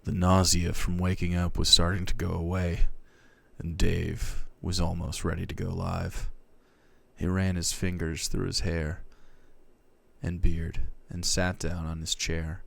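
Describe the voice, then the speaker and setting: A man streaming, reading fan fiction aloud in a theatrical, comic way, shifting between hushed and loud. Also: sits low, completely flat delivery, unhurried, vocal fry, dry recording.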